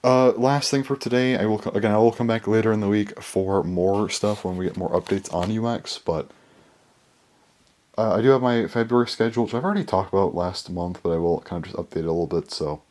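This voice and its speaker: A young man with a very low, deep voice gives a loose, chatty update. His voice is worn and hoarse, and he rambles along with many fillers and restarts, recorded by a camera in a small bedroom. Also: a little fast, darkish tone. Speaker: toy collector vlogger.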